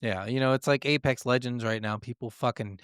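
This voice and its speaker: Male podcast co-host, mid-pitched, smooth clear voice, dry close sound, blunt and sweary casual chat. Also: a little fast, fairly bright tone, no room sound.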